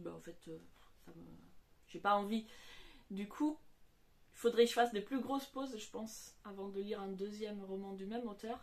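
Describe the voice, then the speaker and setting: A non-native French-speaking woman of about forty, chatting on camera about books. Her voice sits at an ordinary middle pitch. She pauses often and restarts her sentences as she talks. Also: darkish tone. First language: not French. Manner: hesitant, many fillers and restarts. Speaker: book vlogger. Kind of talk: casual book talk to camera.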